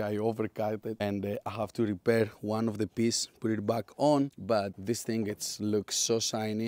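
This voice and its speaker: A man's voice: lowish voice, darkish tone, dry recording. A man in his thirties with a Greek accent, chatting upbeat about his car build from an outdoor driveway garage. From Greece. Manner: chatty, upbeat, non-native English. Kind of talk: casual workshop vlog.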